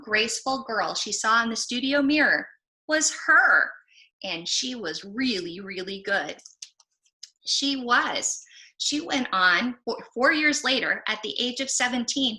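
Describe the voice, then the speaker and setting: A woman giving a book talk for children in an emphatic, encouraging manner with deliberate phrasing. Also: medium pitch, bright, forceful tone, low-quality recording. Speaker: presenter of children's books.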